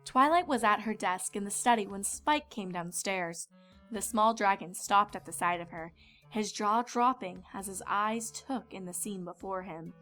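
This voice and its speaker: A woman's voice: medium pitch, unhurried, sharp tone. Woman narrating a story over faint music. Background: background music.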